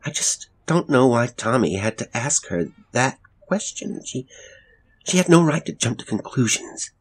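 A man voicing a distraught, defensive young mother in an audiobook story, agitated and emphatic and quick to anger. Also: medium pitch, very animated, breathy edge, voice pushed up. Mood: upset, pleading.

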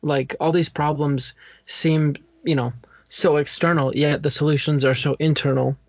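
A man's voice: a little high, little pitch movement, normal speed, dry recording. A man hosting a podcast who interviews his guest thoughtfully, with hesitant restarts. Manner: hesitant, thoughtful, repeats words. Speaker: podcast host.

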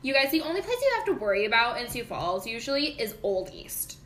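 A woman's voice: on the high side, very animated, fast talker, fairly bright tone. A young woman chatting animatedly on a podcast, full of laughs and emphatic asides. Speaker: podcast co-host. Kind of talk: casual chat. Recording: echoey room with other voices.

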